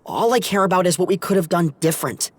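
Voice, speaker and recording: Brief: a plainspoken young guy, husky, casual and hesitant. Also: high-pitched, fast, no echo. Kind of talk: game cutscene voice acting.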